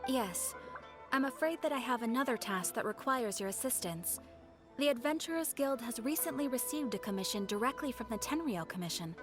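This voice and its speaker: A woman's voice: a little high, expressive, fairly quick, over music, lightly acted. A woman playing a composed, polite guild receptionist in a game, speaking formally. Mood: calm. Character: poised adult woman receptionist, courteous and measured. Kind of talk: game voice acting.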